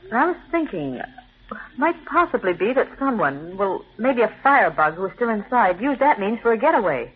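A woman in an old radio drama playing a smart, assertive young office secretary with a crisp, confident manner. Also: medium pitch, very animated, moderate pace. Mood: earnest. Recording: thin, tinny vintage radio recording.

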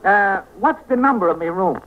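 Goofy loud crackpot, extremely high slow voice, old radio comedy, tinny sound. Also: male voice, expressive. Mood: dopey, curious. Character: dopey, loud crackpot male hotel guest. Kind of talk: 1940s American radio comedy acting. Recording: tinny, noisy vintage radio recording.

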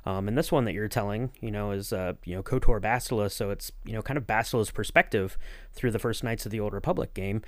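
A man's voice: fairly low pitch, quick pace, no echo. A man co-hosting a podcast, interviewing in a casual, agreeable, rambling way. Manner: rambling, agreeable, hedging with "kind of". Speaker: podcast co-host acting as interviewer.

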